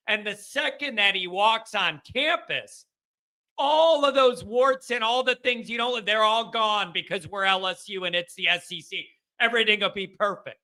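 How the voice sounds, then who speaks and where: A man hosts a call-in sports show from his home basement. His voice sits high and sounds very bright. He rambles in an animated way and pokes fun at himself. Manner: animated, rambling, self-deprecating. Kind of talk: casual call-in chat.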